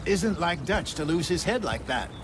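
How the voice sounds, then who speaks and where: A weary old American outlaw. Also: male voice, on the high side, big pitch swings, moderate pace. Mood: troubled. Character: weary, worried old male outlaw, knowledgeable and wry. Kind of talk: naturalistic video game acting. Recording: music behind the voice.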